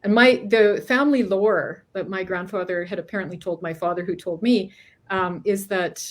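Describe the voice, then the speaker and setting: A woman's voice: medium pitch, steady tone, normal speed. A middle-aged woman from Canada, a guest author chatting casually in an interview, often restarting sentences and laughing between thoughts.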